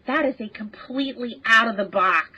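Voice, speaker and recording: A woman's voice: on the high side, normal speed, bright, forceful tone, husky. A woman explaining things as an expert guest in casual discussion. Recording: dull lo-fi remote audio.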